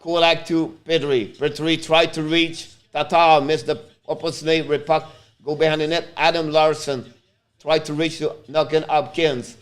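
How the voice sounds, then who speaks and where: A man from Montreal whose first language is French, speaking English with a Quebec French accent as he hosts a casual live stream, calling the game and chatting with viewers, with loud sudden outbursts. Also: a little high, little pitch movement, moderate pace. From Montreal, Canada. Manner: talks straight to viewers. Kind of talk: casual live play-by-play and chat.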